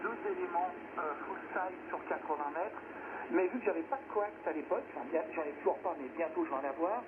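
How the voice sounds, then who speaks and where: A man with a high voice chats over amateur radio. The signal is narrow and tinny, with clear noise throughout. He talks informally, pausing to search for words. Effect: radio transmission. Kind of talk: casual radio contact chat. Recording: tinny, noisy shortwave radio reception.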